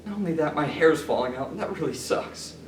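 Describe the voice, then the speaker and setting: A man performing a stage monologue as an anguished, self-pitying lovelorn neurotic with a darkly wry streak and emphatic, halting delivery. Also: fairly high pitch, lively intonation, natural voice. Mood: despairing, morbid. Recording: roomy, distant mic, background noise.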